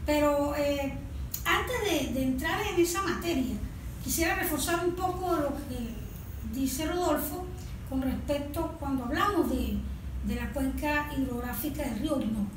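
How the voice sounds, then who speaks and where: A woman's voice: high-pitched, a little slow. A woman with a Venezuelan Spanish accent explaining things formally and didactically as an interview guest. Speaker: guest, environmental project proponent. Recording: distant mic, very echoey noisy room.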